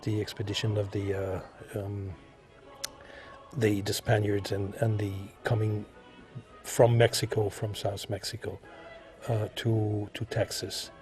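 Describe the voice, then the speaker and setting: Low, mellow Frenchman speaking haltingly. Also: level tone, faint music behind. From France. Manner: halting, searching for words, repeats himself. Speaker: visual artist being interviewed. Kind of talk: conversational interview answers.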